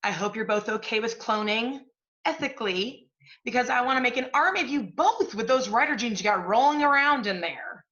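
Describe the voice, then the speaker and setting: Woman to play loud-mouthed, effusive agent on stage; sharp, brassy delivery, slightly lo-fi recording. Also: fairly high pitch, animated, a little fast. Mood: brash, effusive. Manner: brash, profane, effusive. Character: brash, foul-mouthed, gushing literary agent. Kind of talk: stage comedy-drama acting. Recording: dull, muffled stage recording.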